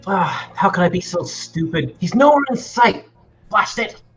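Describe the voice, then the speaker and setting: A man's voice: high voice, big pitch swings, unhurried, fairly bright tone, soft background music, full character voice. A man playing a frustrated, earnest young farm boy in an amateur table read of a film script.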